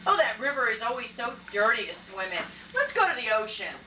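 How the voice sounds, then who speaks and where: A woman's voice: on the high side, very animated, a little fast, bright, forceful tone. A woman voicing a wry, weary suburban mother in an amateur puppet show. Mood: matter-of-fact. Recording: echoey, noisy room from far off.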